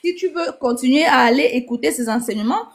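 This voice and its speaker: Woman, slightly high voice, inquisitive, casual questioning. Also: lively intonation, fast talker. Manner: inquisitive, lists options while asking.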